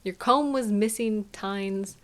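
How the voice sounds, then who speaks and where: Woman acting, loving female partner, intimate audio roleplay, soothing, gently questioning, slow pace. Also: mid-range voice, close to an everyday voice. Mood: gently chiding. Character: caring, gently chiding partner arriving home to their sweetheart. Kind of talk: intimate scripted audio roleplay addressed to the listener.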